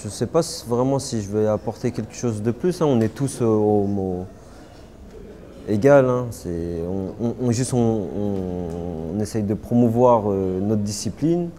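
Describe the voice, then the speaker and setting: A young man, answering interview questions casually, halting often with fillers and restarts. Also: fairly low pitch, dark tone. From Paris suburbs, France. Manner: hesitant, many fillers and restarts. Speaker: interviewee, martial artist.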